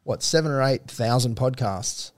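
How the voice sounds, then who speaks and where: A man's voice: mid-range voice, normal speed. An Australian man hosting a podcast in a casual, jokey way. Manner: jokey, laughs often. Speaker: podcast host.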